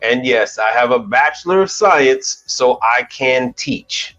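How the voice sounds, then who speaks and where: A man in his forties talks at an easy, ordinary pitch, rambling through a casual live chat with plenty of restarts and mild curses. The sound is dull and lo-fi. Faint music plays underneath. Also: lively intonation, slow pace, sharp tone. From United States. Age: 40s. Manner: rambling, casual, swears lightly, restarts often. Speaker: commercial appliance repair technician and channel host.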